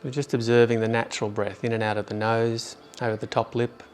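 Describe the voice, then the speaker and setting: A man leading a guided meditation in an informal, unhurried way with long pauses. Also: medium pitch, little pitch movement, faint music behind.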